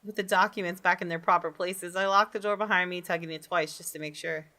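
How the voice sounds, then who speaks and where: A woman's voice: lowish voice, steady tone, fast talker, sharp tone. A woman hosting a gaming video, reading visual novel text aloud and reacting expressively.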